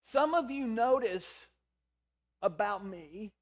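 A middle-aged man with a hoarse, raspy voice, preaching a sermon in a conversational, storytelling way with quoted dialogue. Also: sits high, lively intonation, takes it slowly. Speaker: pastor.

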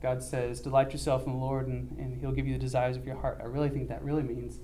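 A young man earnestly telling a church about a mission trip, halting often with ums and restarts. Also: medium pitch, little pitch movement, mellow, a little hiss or hum. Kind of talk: church mission report, casual.

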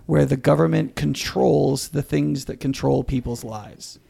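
A man's voice: fairly high pitch, steady tone, unhurried, vocal fry, warm tone, slightly rough. A middle-aged American man hosting a podcast interview, explaining things in a conversational way.

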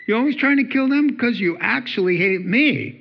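A man's voice: high-pitched, expressive, moderate pace, creaky, muffled recording, pitched above the performer's own voice, exaggerated voice. A man voicing a commanding divine figure who confronts a persecutor bluntly and informally. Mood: confrontational.

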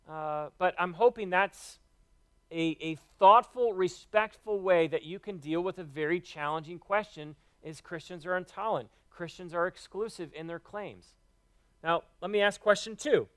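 Higher-voiced man preaching a sermon. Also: fairly bright tone, no echo. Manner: earnest teaching, quoting scripture.

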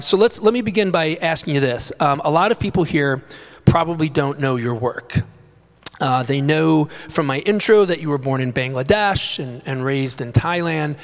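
Chatty male interviewer, long hall reverb. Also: mid-range voice. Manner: friendly, informal, chatty. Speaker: host and interviewer. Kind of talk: live interview. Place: small community gathering room.

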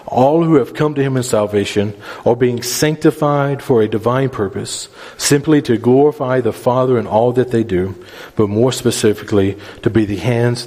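A man's voice: on the low side, fairly even intonation, moderate pace, darkish tone. A man preaching a sermon, explaining scripture verse by verse in an earnest, instructive manner with repeated phrases for emphasis. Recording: reverberant hall.

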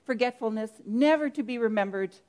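A woman's voice: fairly high pitch, brisk, a little mellow, lightly acted. A woman doing a gushing hippie churchgoer. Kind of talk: church comedy sketch.

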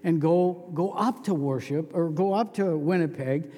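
A man's voice: fairly high pitch, unhurried, warm tone, big-room sound. A man preaching a sermon as pastor, telling stories in a folksy, conversational way.

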